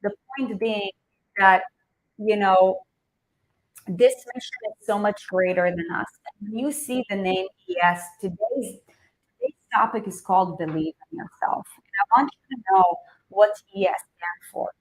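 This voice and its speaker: A woman's voice: medium pitch, a little slow, poor audio. Earnest woman coaching. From Israel. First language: Hebrew. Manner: earnest, repeats herself for emphasis. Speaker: wellness coach and host. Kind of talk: motivational livestream talk.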